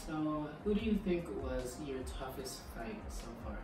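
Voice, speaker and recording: A woman's voice: very deep voice, very animated, measured pace, noisy background. A woman asking casual questions as an interviewer. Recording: very echoey room, distant mic, music.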